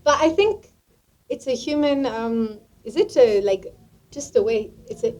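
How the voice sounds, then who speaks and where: A woman's voice: fairly high pitch, animated, a little slow, a little mellow, a little hiss or hum. A woman from England, a guest musician, talking through her thoughts casually and often restarting her sentences. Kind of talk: casual interview talk.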